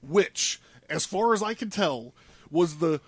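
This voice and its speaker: A man's voice: a little high, lively intonation, takes it slowly, no room sound. Man, casual studio presenter, rambling, informal, swears freely, very dry close sound. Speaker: presenter laying out a conspiracy theory.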